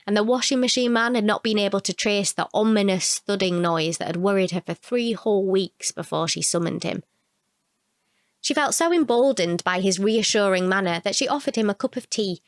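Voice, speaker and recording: A woman reading a short story as a narrator, in an expressive, measured storytelling manner. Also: fairly low pitch, no echo, studio quality.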